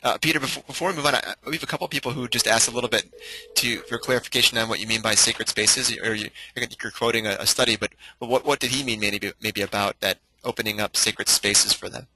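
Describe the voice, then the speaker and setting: A man's voice: mid-range voice, steady tone, fast, sharp tone, slight background noise, low-quality recording. A man moderating a church webinar, passing on audience questions and affirming answers.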